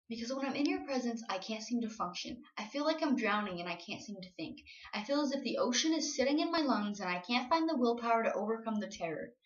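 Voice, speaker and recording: A young adult woman performing spoken-word slam poetry with an earnest, emotional, confessional delivery. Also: a little high. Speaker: slam poet. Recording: roomy, dull lo-fi home recording.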